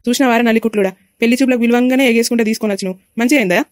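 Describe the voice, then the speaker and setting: A woman acting as a quarrelsome mother in a village family drama. She scolds scornfully in Telangana Telugu at a furious speed, high-voiced and recorded close and dry. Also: very fast, darkish tone, no room sound, natural voice. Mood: scornful anger. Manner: scolding, insult-laden.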